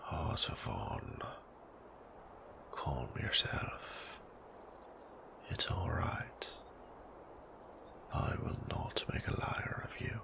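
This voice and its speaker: Suave creepy old vampire, super low crackly voice, courtly, slow and half whispered, audio drama. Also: male voice, big pitch swings, full character voice. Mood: soothing, silky. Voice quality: breathy, gravelly. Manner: silky, formal, theatrically unhurried. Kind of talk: scripted audio roleplay acting.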